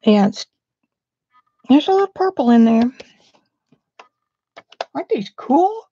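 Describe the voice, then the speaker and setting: A woman's voice: high voice, very animated, takes it slowly, dark tone, husky, dry recording, muffled recording. A woman, chatting casually on a live stream as an art teacher, a bit sniffly, describing her supplies to viewers.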